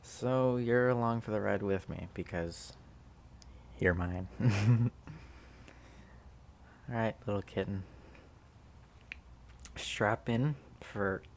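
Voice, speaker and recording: Slow, gravelly, affectionate man acting a sleepy student. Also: lowish voice, slight background noise. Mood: playful, affectionate. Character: affectionate, sleepy student doting on pet catgirl. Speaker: audio roleplay performer. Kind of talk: intimate ASMR roleplay.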